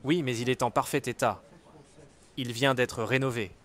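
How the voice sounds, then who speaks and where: A man reading everyday French dialogues for learners, with clear, measured scripted delivery. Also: medium pitch, steady tone, fairly bright tone, natural voice.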